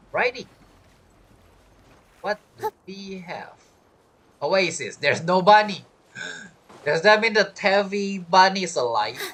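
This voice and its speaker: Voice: medium pitch, fairly bright tone, a little hiss or hum. A game streamer giving casual live commentary, rambling and thinking aloud.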